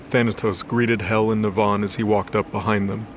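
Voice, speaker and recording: A man's voice: fairly low pitch, little pitch movement, normal speed, a little hiss or hum. A man reading scripted story narration for an audio drama.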